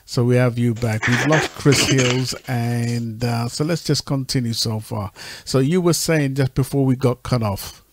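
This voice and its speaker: A man hosting a radio talk show, speaking haltingly with many fillers while sorting out call connections. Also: mid-range voice, fairly even intonation, slight background noise.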